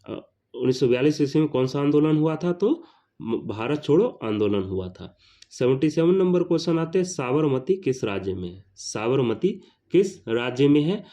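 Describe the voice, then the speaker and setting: A man's voice: mid-range voice, expressive, normal speed, warm tone. A man teaching an exam-prep lesson, reading out questions and answers in a didactic, repetitive way. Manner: didactic, repeats phrases for emphasis.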